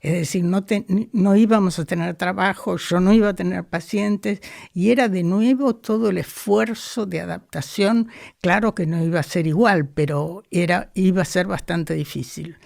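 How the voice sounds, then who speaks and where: A woman, talking slowly and thoughtfully as a guest in an interview. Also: on the low side, creaky, a little mellow, dry recording, clean studio sound. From Argentina. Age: 80s. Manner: unhurried, thoughtful, frequent hesitations. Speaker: guest, psychoanalyst. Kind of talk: reflective interview conversation.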